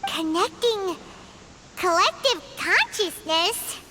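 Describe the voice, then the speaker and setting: A woman's voice: very high voice, animated, takes it slowly, very noisy, full character voice. A woman voicing a small chatty female fairy companion in a video game, who talks about herself by name. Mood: surprised. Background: game music.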